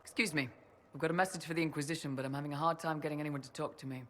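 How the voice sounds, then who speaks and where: Casting: loyal soldier-for-hire in a game, fairly high, forceful, plain-spoken, light background ambience. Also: male voice, steady tone, very fast. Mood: confident, businesslike. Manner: blunt, plain-spoken, businesslike. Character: brisk, confident, loyal young mercenary soldier. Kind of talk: video game voice acting. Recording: faint background ambient noise.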